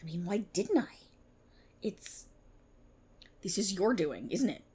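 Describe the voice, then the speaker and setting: A woman playing a sardonic, menacing, resentful police officer in an ASMR roleplay, with a breathy voice and a mocking, theatrical delivery. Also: mid-range voice, very animated, slow pace, darkish tone, a little hiss or hum. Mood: puzzled, rattled.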